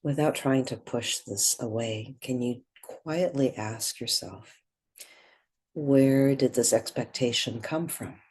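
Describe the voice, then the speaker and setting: A woman leads a guided meditation in a husky voice. She speaks very slowly and gently, setting out short phrases and leaving long pauses between them. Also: very deep voice.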